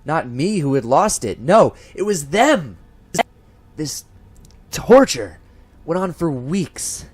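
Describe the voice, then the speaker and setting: A man playing a haunted college professor unraveling into paranoid dread, narrating a horror audio drama in a formal, deliberate, theatrical manner. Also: on the high side, sing-song, breathy edge, voice pushed up, heavily acted. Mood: frantic, anguished. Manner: formal, deliberate, theatrical, swelling from hushed to frantic. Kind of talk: first-person gothic horror audio narration. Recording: music behind the voice.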